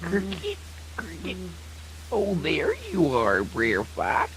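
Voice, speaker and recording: A man's voice: fairly high pitch, huge pitch swings, takes it slowly, creaky, crowd noise, heavily acted, rough recording. A man voicing a tiny, cocky, wily cricket in a children's radio folk tale, folksy and self-assured with a Southern US accent. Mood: smug.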